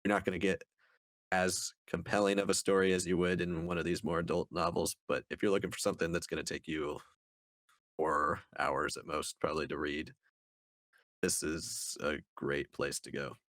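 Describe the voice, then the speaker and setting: A man's voice: low-pitched, flat delivery, normal speed, a bit bright, no room sound. A man co-hosting a review podcast, speaking in a casual conversational style. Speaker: podcast co-host reviewing books.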